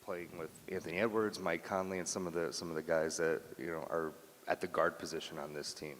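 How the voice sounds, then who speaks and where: A male reporter asks press conference questions with halting restarts. His voice is deep and carries a long reverb from the hall. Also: flat delivery, fairly bright tone. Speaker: newspaper sports reporter.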